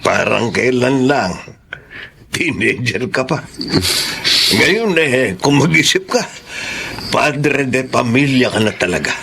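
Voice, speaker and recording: A man's voice: mid-range voice, expressive, slow, vocal fry, pauses often, pushed and bright, slight background noise, full character voice. A man playing an elderly, thrifty and affectionate grandfather in a Filipino radio drama. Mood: fond, chiding. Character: elderly grandfather, thrifty, affectionate, gently advising his son.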